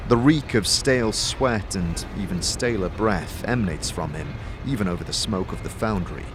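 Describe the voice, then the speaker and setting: A man's voice: low voice, normal speed, background noise. A man giving dramatic scripted narration as the storyteller of a fantasy audio drama. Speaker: narrator and game master, also voices the protagonist.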